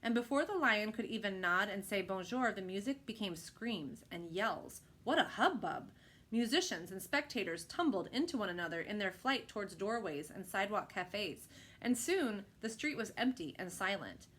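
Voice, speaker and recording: A woman reading a children's picture book aloud with expressive narration. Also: medium pitch, normal speed.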